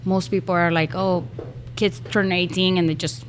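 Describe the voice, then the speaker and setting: Venezuelan-born lady, soft and halting, kinda low voice. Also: flat delivery, a little hiss or hum. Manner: hesitant, many fillers, soft-spoken. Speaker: restaurant owner. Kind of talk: interview answers. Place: restaurant.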